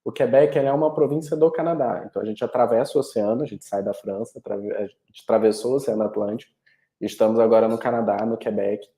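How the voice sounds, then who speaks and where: A man with a Carioca accent walks listeners through an online presentation in a fairly low, mellow voice. He often restarts his sentences and fills pauses as he explains. The video-call audio has a roomy echo. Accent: Carioca Brazilian Portuguese. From Rio de Janeiro, Brazil. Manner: informative, with frequent restarts and fillers. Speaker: international education representative.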